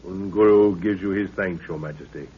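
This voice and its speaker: An actor playing a courteous, steady middle-aged expedition leader with formal, gallant speech, in 1930s radio drama style. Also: male voice, deep voice, moderate pace, slightly rough. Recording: muffled, noisy 1930s radio recording.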